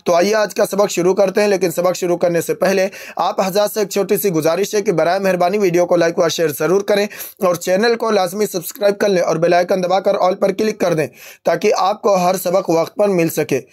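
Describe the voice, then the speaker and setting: A man with an Urdu-Hindustani accent teaching Arabic phrases. He addresses his viewers with formal politeness, says each phrase slowly and repeats it word by word. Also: high voice, steady tone, darkish tone.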